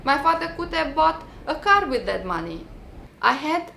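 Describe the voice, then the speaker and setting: A woman with a Romanian accent reads her personal story as a dramatic monologue, theatrical and deliberate, with pointed pauses and bitter irony. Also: sits high, very animated, gravelly, sharp tone, echoey room, slight background noise. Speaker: writer reading her own memoir.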